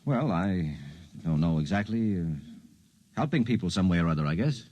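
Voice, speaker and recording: A man's voice: sits low, sing-song, normal speed, a little mellow. An actor playing a skeptical, troubled professional man in a 1950s radio drama, talking in blunt, colloquial, questioning lines. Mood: troubled, earnest. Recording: 1950s radio broadcast recording.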